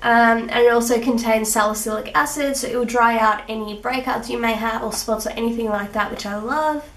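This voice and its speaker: A young woman with a sick, husky rough voice, chatting through her skincare routine with little laughs. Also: a little high, steady tone, brisk. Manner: chatty, giggly, with fillers. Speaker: beauty vlogger. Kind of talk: casual skincare tutorial. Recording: echoey room, mic at a distance.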